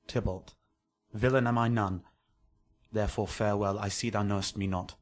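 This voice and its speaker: A man's voice: lowish voice, steady tone, normal speed, lightly acted. An actor playing an earnest, passionate young nobleman in a Shakespearean radio drama, with declamatory delivery. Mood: placating.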